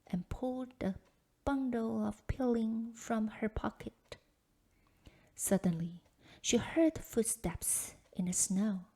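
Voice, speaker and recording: A woman's voice: fairly high pitch, warm tone, breathy edge. A woman reading a children's folktale aloud as scripted storybook narration. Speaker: storybook reader.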